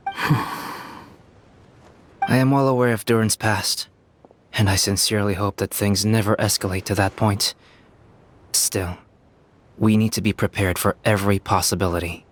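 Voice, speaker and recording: An actor playing a composed, polite young male scholar in an anime game dub, measured, articulate and formal. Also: on the low side, slight background noise, natural voice. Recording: faint background music.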